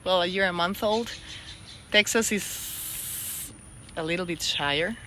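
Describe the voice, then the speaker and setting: A woman's voice: fairly low pitch, takes it slowly, pushed and bright, a little hiss or hum. Chatty Mexican woman speaking English. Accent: Mexican Spanish. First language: Spanish. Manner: chatty, open, self-deprecating about her English. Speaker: TV host. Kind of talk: casual vlog chat.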